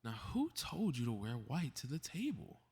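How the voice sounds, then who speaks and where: A man's voice: medium pitch, huge pitch swings, normal speed, a little mellow, breathy edge, heavily acted. A man acting a cocky, mischievous young male superhero in a scripted ASMR audio drama, muttering sarcastic, casually profane asides. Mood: sarcastic, irritated.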